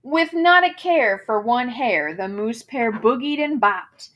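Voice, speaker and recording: A woman's voice: on the high side, lively intonation, slow pace, dull audio. A woman reading a children's picture book aloud in a theatrical manner, savoring the rhymes and wordplay with dramatic emphasis. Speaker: storybook reader.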